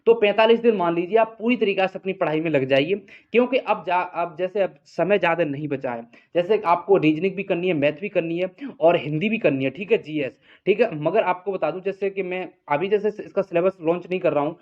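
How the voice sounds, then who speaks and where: High-pitched man, dull recording. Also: brisk. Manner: repetitive, explanatory, frequent check-in tags. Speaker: exam coaching teacher and channel host. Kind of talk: informal exam update addressed to students.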